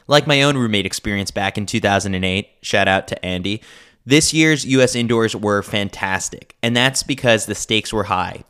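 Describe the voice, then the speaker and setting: A man's voice: on the low side, a bit bright. An American man narrating a scripted sports newsletter as a podcast host.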